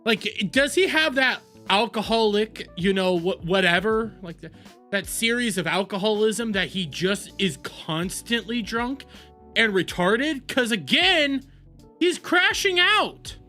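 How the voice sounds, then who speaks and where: A male streamer rants to his live chat in a loose, foul-mouthed, excitable way. His pitch leaps all over the place and his tone is sharp and bright. Soft music plays faintly underneath. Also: unhurried. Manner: rambling, profane, excitable. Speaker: livestream host. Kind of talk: casual livestream chat.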